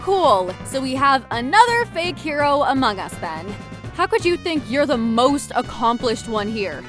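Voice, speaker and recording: A high, animated voice over music, a woman acting a scornful cartoon bounty hunter. She is proud and combative, piling on sarcasm and indignation. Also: measured pace. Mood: sarcastic.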